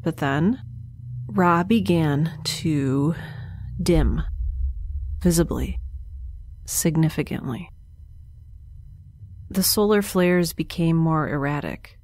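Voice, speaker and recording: A woman acting as a weary female scientist stranded in space, recording her log in a low voice. She is dry and wordy, choosing words haltingly, with faint noise around her in this audio drama. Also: a little mellow. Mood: worried.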